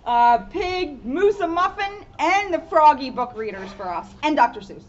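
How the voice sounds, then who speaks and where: Young woman with lively, high intonation. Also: unhurried. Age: young adult. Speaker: police officer co-hosting story time. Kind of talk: casual chat. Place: outdoor pavilion bench in a park. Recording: muffled outdoor recording, faint background noise.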